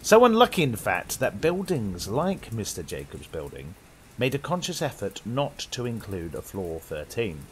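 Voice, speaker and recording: Animated British male horror storyteller. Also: on the low side, normal speed, a little hiss or hum, poor audio. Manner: dramatic, suspenseful delivery. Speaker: horror story host and narrator. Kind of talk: theatrical scripted horror narration inspired by old radio.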